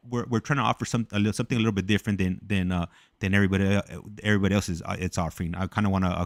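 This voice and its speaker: A man hosting a casual live talk, speaking in a hesitant, halting way and often repeating his words. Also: low voice, no echo, clean studio sound. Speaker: host of a live prison call podcast.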